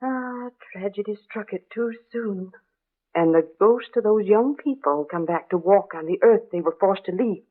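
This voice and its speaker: Actress as a gossipy older neighbor, muffled old radio. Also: female voice, mid-range voice, moderate pace, lightly acted. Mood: ominous. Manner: chatty, exclamatory, ominous storytelling. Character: gossipy, superstitious older female neighbor with rheumatism, dramatic and morbid. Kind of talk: old-time radio drama acting. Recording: muffled, narrow-band vintage radio recording.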